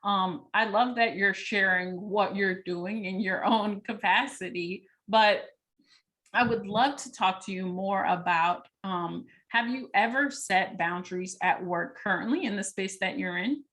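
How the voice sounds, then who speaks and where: A woman hosting a podcast who listens with soft affirming sounds and responds supportively to her guest. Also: medium pitch, measured pace. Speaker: podcast host. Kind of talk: casual conversational interview.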